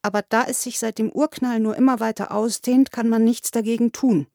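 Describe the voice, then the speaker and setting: A woman's voice: on the high side, fairly even intonation, a little fast, dark tone, no echo, studio quality, close to an everyday voice. A woman playing a cool, sober young female student in an audiobook, speaking in a curt, earnest way. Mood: dutifully soothing. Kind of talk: audiobook character acting.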